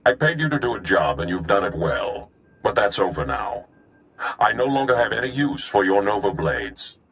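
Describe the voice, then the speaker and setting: A cold villain lord from a science-fiction game. The voice is very deep, with dramatic rises and falls. He speaks formally, with imperious menace. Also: big pitch swings, normal speed, fairly bright tone. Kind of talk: video game voice acting.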